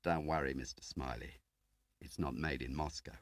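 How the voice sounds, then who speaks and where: A man's voice: very deep voice, steady tone, normal speed, dry recording. A man voicing a cocky, brash field agent on the run, talking in slang, in a spy audiobook. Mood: breezy.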